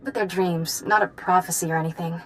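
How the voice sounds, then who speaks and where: A woman's voice: on the low side, fairly even intonation, fairly quick, fairly bright tone, a little hiss or hum, close to an everyday voice. A woman playing a youthful, idealistic cult leader in a video game, earnest and naive. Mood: wistful, earnest.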